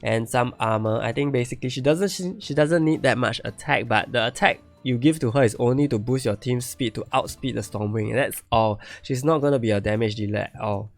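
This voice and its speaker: Man, game streamer, raspy gravelly tone, losing his voice, thinks aloud, repeats phrases, faint music behind. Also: mid-range voice, fairly quick, few pauses. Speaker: game streamer reviewing viewer accounts. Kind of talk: casual live-stream commentary.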